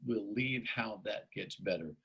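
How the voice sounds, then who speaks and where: A male radio host with a low, gravelly, rough-edged voice. He talks bluntly, presses his guest hard, and trips over his own sentences as he goes, restarting them again and again. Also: unhurried, pushed and bright, low-quality recording. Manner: blunt, pushes the guest with challenges; restarts and stumbles over words. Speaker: talk show host. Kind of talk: radio talk show interview.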